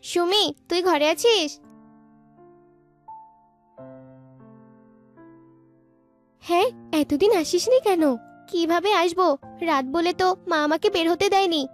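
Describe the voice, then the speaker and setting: A very high, girlish voice put on by a woman plays an earnest village girl in a cartoon folk tale. She sounds a little whiny, with music playing behind her. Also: normal speed. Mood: earnest, relieved.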